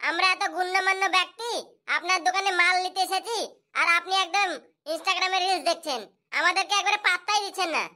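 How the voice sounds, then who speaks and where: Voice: very high voice, fairly even intonation, brisk, sharp tone, heavily acted. A performer voicing a cheeky, pushy schoolboy customer in a comedy cartoon, with whiny, insistent delivery. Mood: complaining. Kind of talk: comic cartoon dubbing.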